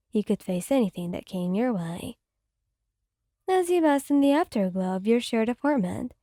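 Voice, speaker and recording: A woman narrating a romantic fanfic as an ASMR reading, in a soft, gentle storytelling voice into a close mic. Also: fairly high pitch, no echo.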